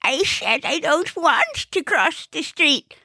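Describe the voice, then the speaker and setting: Woman doing a crabby old lady, high-pitched. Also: sing-song, takes it slowly, pushed and bright, dry recording, heavily acted. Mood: irritated. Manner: curt, scolding. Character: crabby elderly woman refusing help. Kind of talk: audiobook character voice.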